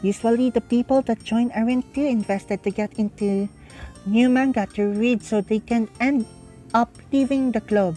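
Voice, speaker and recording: A woman reading a visual novel aloud with casual asides, halting and stumbling over words as she goes. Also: on the high side, fairly even intonation, dark tone, faint music behind. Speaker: reader and commentator.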